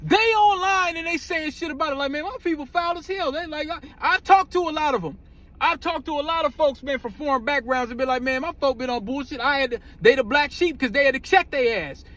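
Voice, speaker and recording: Very high-pitched man, blunt and profane. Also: brisk, fairly bright tone. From United States. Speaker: commentary host. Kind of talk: casual opinionated commentary.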